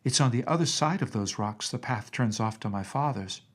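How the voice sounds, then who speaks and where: A plucky young miner boy from a storybook, played by a male narrator, confident and matter-of-fact. He has a teasing streak and a slightly husky voice. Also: mid-range voice, normal speed, close to an everyday voice.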